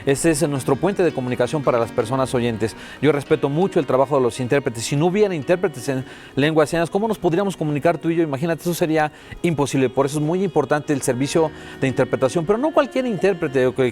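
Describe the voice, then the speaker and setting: A man with a Mexican accent voicing a deaf guest's words as an interpreter in a TV interview, steady and first-person with many "eh" fillers. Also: medium pitch.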